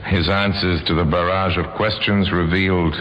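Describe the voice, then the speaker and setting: A man narrating an old-time radio crime drama in a grave, deliberate, dramatic manner with a sardonic edge. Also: low-pitched, fairly even intonation, lightly acted. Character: grave, sardonic male narrator of murder cases. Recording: dull, noisy old radio recording.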